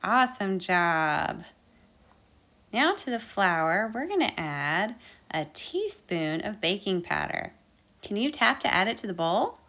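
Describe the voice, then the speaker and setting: A woman's voice: medium pitch, big pitch swings, slow, bright, forceful tone. A woman hosting an interactive children's baking storytime, encouraging listeners with sing-song, repeated instructions. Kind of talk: interactive children's baking lesson with counting and singing.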